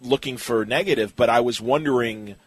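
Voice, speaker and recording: A man's voice: mid-range voice, a little fast, breathy edge, no echo. A man with a mid-range voice hosts a casual interview podcast, recorded dry with no room sound. He puts questions to his guest and chimes in with brief, agreeable responses.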